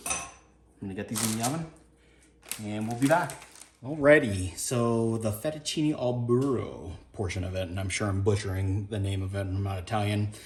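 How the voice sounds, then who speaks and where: A man's voice: fairly low pitch, normal speed, a little mellow. Laid-back man cooking in an echoey kitchen. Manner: laid-back, chatty, swears casually. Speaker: home cook vlogger. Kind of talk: casual cooking narration. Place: home kitchen, indoors.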